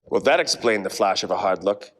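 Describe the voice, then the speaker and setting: Man playing hard-boiled 1940s gumshoe, wry, world-weary, animated pitch swings, radio-play noir, reverberant hall. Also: brisk. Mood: brooding narration. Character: wisecracking hard-boiled 1940s male private detective. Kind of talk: 1940s radio noir parody, narration and dialogue. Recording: echoey stage or hall reverb.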